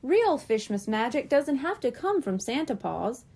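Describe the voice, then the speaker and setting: A woman voicing a gentle, loving mother cat-mermaid who speaks softly and soothingly. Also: high-pitched, very animated, a little slow, exaggerated voice. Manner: soft, soothing.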